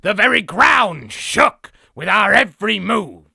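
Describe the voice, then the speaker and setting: A man voicing a boastful raspy demon, telling an exaggerated battle story theatrically for a comic dub. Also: high voice, expressive, measured pace, sharp tone, dry recording, studio quality, heavily acted. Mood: boastful, grandiose. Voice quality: raspy, gravelly. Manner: theatrical, self-aggrandizing. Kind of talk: comic dub character narration.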